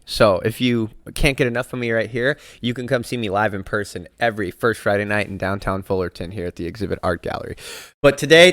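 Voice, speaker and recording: A man's voice: medium pitch, fairly bright tone. Chatty man hosting a podcast. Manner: upbeat, chatty, loose and joking. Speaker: podcast host. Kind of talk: casual podcast interview. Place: art gallery.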